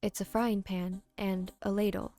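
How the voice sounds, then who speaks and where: A woman voicing a modest, polite and reserved homemaker in a video game, speaking softly and deliberately. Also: medium pitch, level tone, faint music behind, natural voice. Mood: mild, polite.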